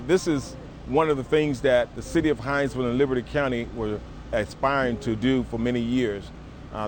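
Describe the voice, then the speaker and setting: A man answers interview questions off the cuff as a city development official. His pitch is average, and faint outdoor car noise sits behind him. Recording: outdoor street noise with cars.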